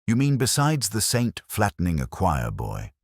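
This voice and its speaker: A man's voice: on the low side, huge pitch swings, moderate pace, dry recording, clean studio sound, pitched above the performer's own voice. A man voicing an earnest, eager, bewildered police sergeant in a story, asking plain and naive questions.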